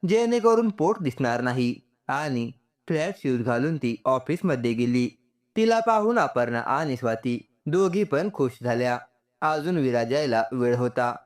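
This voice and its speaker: A man reading a scripted story aloud as a storyteller. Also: mid-range voice.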